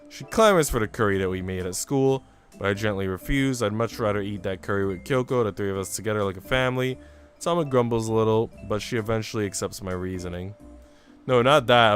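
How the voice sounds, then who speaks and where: A man streaming a visual novel, moving between story narration and casual chat with viewers. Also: mid-range voice. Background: soft background music.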